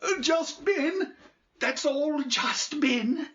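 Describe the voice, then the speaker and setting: An actor playing a frightened, simple-minded old beachcomber in a radio drama, rambling and repeating himself in pleading rustic speech. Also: male voice, very high voice, very slow, fairly bright tone, dull audio, full character voice. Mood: nervous, defensive.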